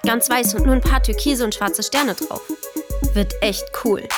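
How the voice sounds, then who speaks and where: A woman's voice: fairly high pitch, a little slow, natural voice. A woman playing a sulky, slangy teenage girl who narrates her family life in a children's radio play. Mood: chatty, gushing. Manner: casual, grumbling youth slang. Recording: music playing behind the voice. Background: loud music.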